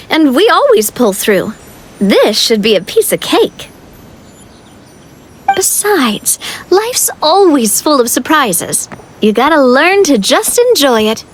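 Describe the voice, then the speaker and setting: A woman's voice: sits high, slight background noise, heavily acted. A woman playing a confident, upbeat young female leader in a video game, breezy and self-assured in her delivery. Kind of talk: animated game dialogue. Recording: music playing behind the voice. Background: game music.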